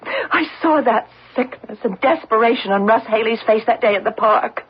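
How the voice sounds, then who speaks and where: A woman playing a lonely, fearful, persistently pleading wife in a radio drama, with a husky voice. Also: on the high side, moderate pace. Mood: accusing, hurt. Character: lonely, fearful, wounded wife of an overbearing businessman. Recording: dull lo-fi radio broadcast recording.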